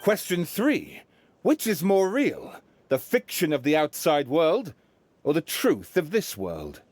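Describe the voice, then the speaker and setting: An actor playing a showy, declamatory quizmaster who poses solemn riddles in a video game. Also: male voice, a little high, huge pitch swings, takes it slowly, a little mellow, slight background noise, exaggerated voice. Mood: grand, solemn. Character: theatrical male quizmaster posing solemn riddles. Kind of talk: video game character acting.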